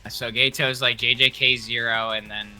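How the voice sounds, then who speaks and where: A man's voice: medium pitch, little pitch movement, normal speed, pushed and bright, soft background music, low-quality recording. A man co-hosting a casual, opinionated ranking chat. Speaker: co-host of an anime character ranking.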